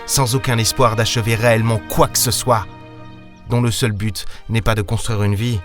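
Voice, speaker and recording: A man's voice: on the low side, level tone, moderate pace, soft background music, lightly acted. A man playing a weary, lonely space captain, narrating an audio drama in brooding, hesitant short phrases. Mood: wistful, despairing. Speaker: narrator and lead character of an audio serial. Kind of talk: dramatic first-person audio fiction narration.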